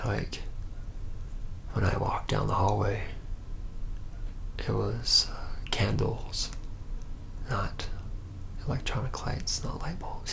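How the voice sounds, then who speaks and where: A reluctant young male vampire , acted by a man, confides his story in an ASMR roleplay. His low voice is heavily fried and slips often into a breathy whisper. He speaks haltingly, nervous and rueful, trailing off as he goes. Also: a bit bright, heavily acted. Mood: hushed, wondering. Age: young adult. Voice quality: breathy whisper mixed with low creaky murmur.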